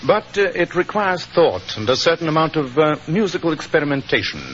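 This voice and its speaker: An actor playing a cool, self-assured British detective, measured, precise and drily witty, in 1940s radio style. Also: male voice, a little high, animated, fairly bright tone. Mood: musing wry. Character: cool, self-assured male English detective, incisive and wry. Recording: muffled, hissy 1940s radio recording.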